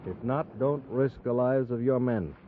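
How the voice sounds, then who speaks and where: An actor playing a stern, cautious US Army captain in a 1950s radio drama, brisk, clipped and authoritative. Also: male voice, medium pitch, lightly acted. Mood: commanding. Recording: muffled 1950s radio, faint noise.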